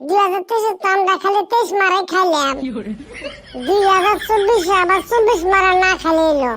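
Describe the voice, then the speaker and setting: A man voicing a cheeky, rowdy young village lad in a comedy cartoon, with a northern Bengali village dialect and a squeaky, pitch-shifted voice. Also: fairly quick, background noise. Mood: teasing. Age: young adult. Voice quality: squeaky, artificially high.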